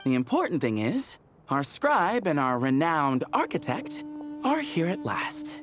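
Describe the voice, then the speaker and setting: A man voicing a genial, brisk young expedition leader in a video game, organised and wry. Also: fairly high pitch, huge pitch swings, a bit bright, faint music behind, exaggerated voice. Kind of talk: video game character dialogue.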